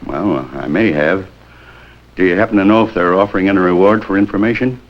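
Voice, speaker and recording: A man's voice: low voice, fairly quick, natural voice. A man playing a wheedling, money-minded hotel desk clerk in a 1950s American police drama, hedging and ingratiating as he talks. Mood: sly, probing. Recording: dull, noisy 1950s film soundtrack.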